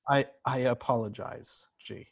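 A man playing a polite, eager-to-serve talking smart table in a play reading, with a halting, courteous manner. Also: mid-range voice, fairly even intonation, vocal fry, no echo, lo-fi sound. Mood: apologetic, polite.

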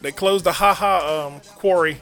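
A high, bright male voice full of energy. He hosts a comedy podcast and fires off jokes, laughing and getting loud as he riffs. His talk is quick, loose and playful. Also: vocal fry, faint music behind. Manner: loud, laughing, fast joking delivery. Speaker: podcast host. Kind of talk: casual comedy podcast banter.

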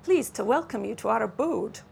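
Lady reading a teasing young russian guy, dry jokes, kinda high, light fry. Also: moderate pace, a little hiss or hum, lightly acted. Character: teasing young Russian man, playful, with a Scots-tinged accent.

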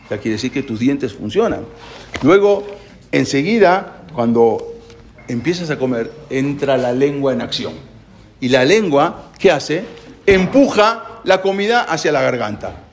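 A man giving a spoken Torah class, asking his listeners questions and telling a parable. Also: a little high. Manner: animated storyteller, asks the listeners questions.

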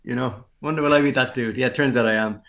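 A man's voice: medium pitch, fairly even intonation, quick pace, gravelly, fairly bright tone. An Irish man in his forties, a musician chatting casually in an interview with a wry, self-deprecating manner. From Ireland. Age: 40s.

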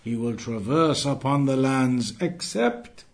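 A man's voice: medium pitch, expressive, slow pace. Earnest man teaching a religious class. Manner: earnest, repeats key phrases, recites Arabic fluently; quizzes the class and waits for answers. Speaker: Islamic teacher. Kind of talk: religious lesson to a live class.

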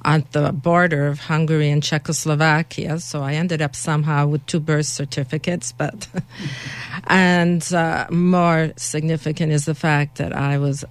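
A woman's voice: sits low, no room sound. A middle-aged woman, a lawyer speaking as a guest on a radio panel, earnest and emphatic with some restarts. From Canada.

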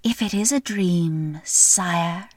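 A woman voicing a small, wise and sly snake in the woods, speaking slowly with a hushed, cryptic, drawn-out manner. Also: lowish voice, dry recording.

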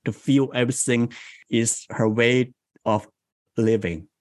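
A man in his forties from South China with a Chinese accent, a guest in an interview who tells stories in a slow, reflective way. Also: mid-range voice, steady tone, darkish tone, dry recording. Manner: slow, thoughtful, reflective storytelling. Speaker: guest, improv coach. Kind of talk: interview conversation.

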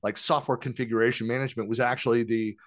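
A man's voice: mid-range voice, fairly even intonation, fast, no echo, studio quality. A man hosting an interview podcast, talking casually and rambling a little. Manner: rambling, many fillers and restarts. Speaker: podcast host.

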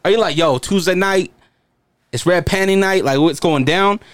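A man co-hosting a podcast, teasing and lively, often answering with "facts". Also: high voice, moderate pace, dry recording. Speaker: podcast co-host. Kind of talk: casual podcast chat.

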